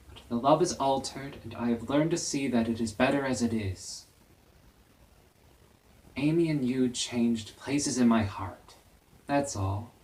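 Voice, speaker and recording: A man's voice: medium pitch, a little slow, long pauses, darkish tone, natural voice. Guy acting out a heartsick young married man, classic novel monologue, earnest n emphatic, a bit far from the mic. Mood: tender, resigned. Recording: voice distant from the microphone.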